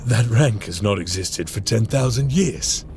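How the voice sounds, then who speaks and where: An actor playing a stern space-warrior lieutenant who commands his brothers in battle, with a gravelly, raspy voice. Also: fairly low pitch, unhurried, very noisy. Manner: commanding, barks orders in battle.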